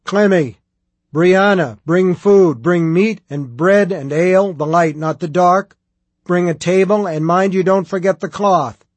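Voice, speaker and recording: A man voicing a huge, imperious but good-humored prioress in an audiobook, with a rolling, booming voice and archaic formal speech. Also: fairly even intonation, moderate pace, darkish tone, no room sound, close to an everyday voice. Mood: bellowing orders.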